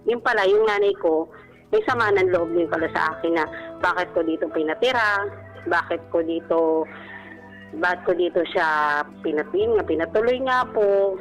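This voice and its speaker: Casting: woman, 30s, low voice, polite radio caller telling her own story over background music. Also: animated, moderate pace, pauses often, lo-fi sound. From Philippines. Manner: respectful, frequent polite particles. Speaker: caller on a radio advice show. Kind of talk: personal storytelling, conversational. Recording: radio broadcast with music bed.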